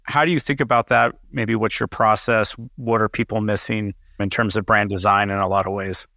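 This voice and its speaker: A man hosting a business podcast in a chatty, enthusiastic way, often stumbling over and repeating his words. His voice is bright and forward. The sound is dry and close. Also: lowish voice, fairly even intonation, a little fast, creaky, no room sound. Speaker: podcast host. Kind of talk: casual interview hosting.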